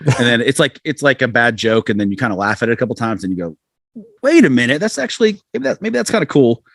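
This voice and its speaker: A man's voice: mid-range voice, big pitch swings, fast, creaky, a bit bright, no echo, clean studio sound. An American man in his forties chatting casually as an interview guest, rambling and self-deprecating as he tells stories. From southern United States. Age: 40s. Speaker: podcast guest, rock musician.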